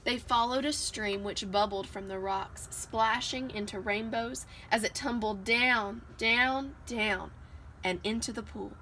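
A woman giving an expressive read-aloud of a children's picture book, recorded outdoors on a porch. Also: a little high, a little slow. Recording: noisy outdoor home recording.

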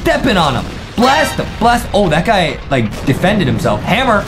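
A young man in his twenties doing excited, exclamatory reaction commentary as a video host, in short hype-filled bursts. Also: sits high, normal speed. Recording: music playing under voice. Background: music and video sound effects.

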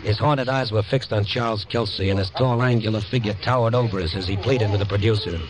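A man's voice: on the low side, fairly quick, a crowd in the background, lightly acted, low-quality recording. An American man playing a hard-boiled but sympathetic night-beat reporter, telling his story dramatically in vintage radio style. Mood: brooding narration.

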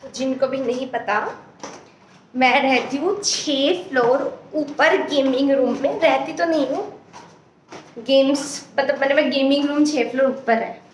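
Casting: female vlogger in her 20s, high voice, slow-paced, informal and chatty, phone recording at home. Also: slight background noise, low-quality recording. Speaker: vlogger and gaming streamer. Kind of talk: casual vlog chat mixing Hindi and English. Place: small rooms at home. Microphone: phone held at arm's length.

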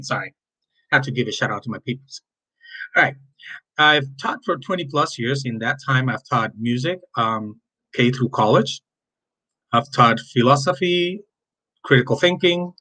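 A man's voice: medium pitch, a bit bright, rough recording. A man in his fifties from Cuba with a Cuban Spanish accent, a native Spanish speaker introducing himself as a Spanish teacher to his students in a chatty, friendly way. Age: 50s. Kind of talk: recorded class introduction over slides.

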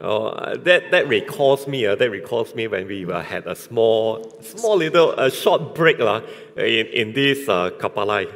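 A man in his thirties with a Malaysian accent preaching a church sermon in short, halting, colloquial phrases, pausing for an interpreter. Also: fairly low pitch, expressive, vocal fry, a bit bright. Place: large church hall, indoors, on stage. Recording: echoey hall with long reverb.

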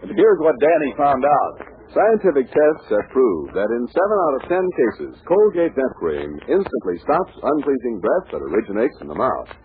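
A man's voice: mid-range voice, wildly swooping pitch, moderate pace, a little hiss or hum. An American man announcing and reading scripted ads in a 1940s radio style. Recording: tinny 1940s radio recording.

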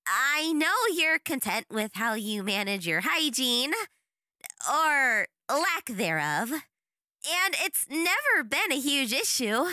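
Girl doing a sweet young demon lady, cartoon, high and swoopy, really earnest and effusive. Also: sing-song, takes it slowly, bright, forceful tone, dry recording, clean studio sound, exaggerated voice. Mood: hesitant, tactful.

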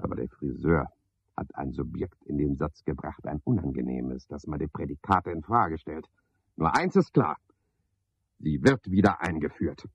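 A garrulous, pompous porter from a radio play, scheming and full of himself. His voice is husky and swings widely in pitch as he piles up wordy, clipped phrases. The sound is that of a dull seventies radio recording. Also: darkish tone, no room sound, exaggerated voice. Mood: brooding, self-righteous. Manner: wordy, self-important, rambling in clipped phrases. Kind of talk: radio play monologue, theatrical.

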